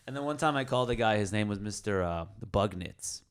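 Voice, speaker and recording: A man's voice: lowish voice, brisk, clear, resonant tone. A young man hosting a podcast, telling stories in casual chat and laughing often. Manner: animated storyteller, laughs a lot. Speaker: podcast host.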